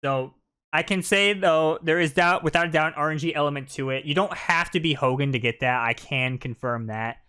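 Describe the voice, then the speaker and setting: A man's voice: a little high, a little fast, bright, forceful tone, smooth. A man giving casual, jokey live commentary as the host of a gaming video.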